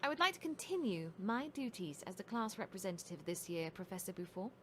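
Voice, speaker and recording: A woman's voice: mid-range voice, lively intonation, a little fast, a bit bright, a little hiss or hum, natural voice. A woman voicing a proud, composed young noblewoman student in a game. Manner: cool, poised, cutting. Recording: game audio with music behind.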